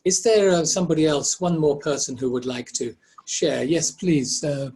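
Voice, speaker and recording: Gentle man facilitating an online workshop. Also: a little high, moderate pace. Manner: gentle, inviting, paraphrases speakers. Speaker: facilitator, presenter. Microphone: video call.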